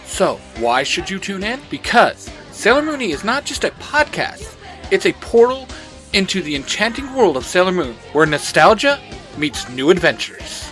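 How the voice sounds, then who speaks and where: A man's voice: high voice, big pitch swings, moderate pace, fairly bright tone, soft background music. A man delivering a scripted podcast promo as its host, in a hyped, dramatic manner.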